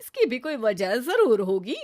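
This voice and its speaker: A woman voicing a stern, sharp-tongued middle-aged mother-in-law in an animated moral story, sarcastic and easily offended. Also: fairly high pitch, unhurried. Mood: curt.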